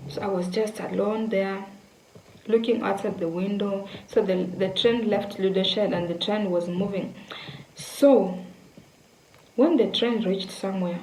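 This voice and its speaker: A young woman from Kenya tells a story in a chatty, casual way, often repeating herself and turning to her viewers. Her voice sits at a middle pitch. The room around her is echoey, with a faint hum of background noise. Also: darkish tone. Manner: chatty, repeats words, addresses viewers directly. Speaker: vlogger.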